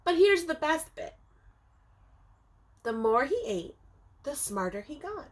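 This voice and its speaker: A woman teacher reading a picture book aloud to young children, expressively, with dramatic emphasis and drawn-out words, speaking to them directly. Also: sits high, very animated, a little hiss or hum. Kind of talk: animated children's storybook read-aloud.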